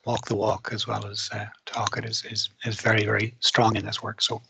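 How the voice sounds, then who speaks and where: A man's voice: lowish voice, monotone, brisk, vocal fry, slight background noise. A man on a headset video call moderating a conference session, encouraging and chatty as he thanks the speaker and invites questions. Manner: warm, encouraging, rambling thanks. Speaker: conference host. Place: home office on video call.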